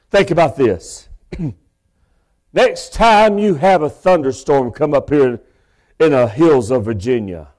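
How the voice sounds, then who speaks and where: Man in his fifties, preacher, big booming voice, folksy asides, slightly high pitch, pulpit mic. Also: a little mellow. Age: 50s. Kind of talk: sermon. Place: church sanctuary. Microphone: pulpit microphone.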